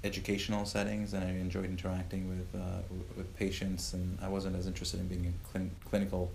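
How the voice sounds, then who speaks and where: Deep flat-voiced man, gravelly. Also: a little hiss or hum. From Uganda. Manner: measured, with frequent "uh" fillers and restarts. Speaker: professor and guest. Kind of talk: podcast interview.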